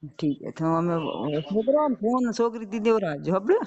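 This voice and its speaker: A woman's voice: medium pitch, very animated, moderate pace, warm tone. Blunt Rajasthani woman on muffled phone. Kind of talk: casual phone conversation. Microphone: telephone. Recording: dull lo-fi phone call recording.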